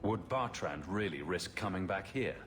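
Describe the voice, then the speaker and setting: A man voicing a confident male hero who speaks calmly and teases dryly. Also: sits low, normal speed. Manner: calm, dryly teasing. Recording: game cutscene with music behind.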